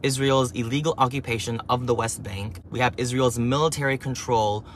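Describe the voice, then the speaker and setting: A man's voice: medium pitch, steady tone, fairly quick, slight background noise. A young man speaking earnestly in a scripted opinion video, building his points through emphatic lists. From Palestinian diaspora. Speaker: commentator.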